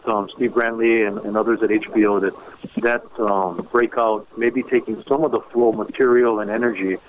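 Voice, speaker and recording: A man giving a measured, halting update as an official at a media briefing, with many fillers and restarts. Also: on the low side. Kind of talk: informal media briefing on a conference call. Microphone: phone. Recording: tinny phone line with faint noise.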